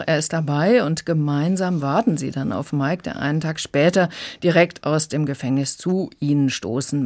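A woman reviewing a book on the radio, summarising the story in a prepared, conversational way. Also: low voice. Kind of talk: prepared plot summary in a two-person review.

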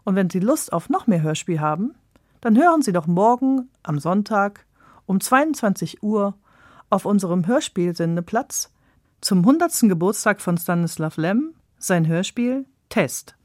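A woman's voice: mid-range voice, expressive, unhurried, a little mellow, no echo, clean studio sound. A woman presenting a radio programme with a polished scripted delivery.

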